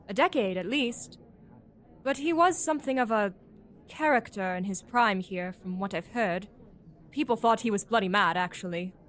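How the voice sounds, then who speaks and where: An eager young scientist with a fairly high voice. She is gushing, gossipy and properly spoken, in the manner of an audio drama. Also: fairly bright tone. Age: young adult.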